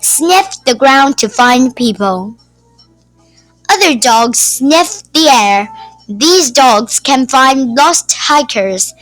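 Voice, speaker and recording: Slow, high-pitched woman reading aloud. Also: a bit bright, no echo, rough recording. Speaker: YouTube read-aloud host. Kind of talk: scripted children's nonfiction read-aloud.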